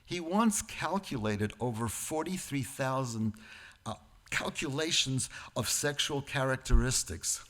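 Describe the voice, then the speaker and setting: A man with a medium-pitched voice gives a prepared, lecture-like reflection as a speaker at a church service. He moves deliberately from phrase to phrase. Now and then he steps away from the formal text for a dry, conversational aside. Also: lively intonation, bright, forceful tone. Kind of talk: prepared lecture-style sermon with informal asides.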